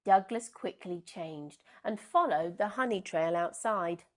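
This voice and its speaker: A woman reading a children's storybook aloud in an expressive, unhurried and dramatic way. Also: fairly low pitch.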